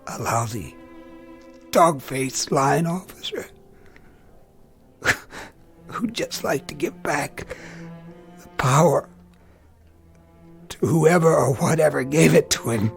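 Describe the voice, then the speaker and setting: An anguished, slow-speaking army lieutenant. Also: male voice, on the high side, expressive, creaky, exaggerated voice. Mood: bitter, weary. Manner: anguished, bitter, intense. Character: tormented young army lieutenant who sees death on faces. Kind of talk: dramatic radio drama acting. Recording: radio drama with music underneath.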